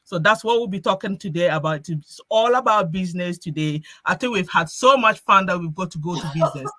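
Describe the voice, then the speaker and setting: A woman's voice: lowish voice, fairly quick, a bit bright, no echo. A woman hosting a live-stream group chat, talking in a chatty way and often restarting her phrases. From Ghana. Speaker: host.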